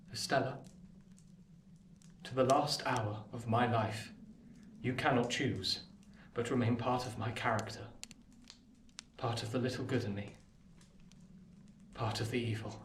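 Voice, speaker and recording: A man playing an earnest, lovelorn young gentleman in a radio play, speaking formally and with pleading passion. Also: mid-range voice, natural voice. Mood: impassioned. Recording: echoey, distant, noisy room.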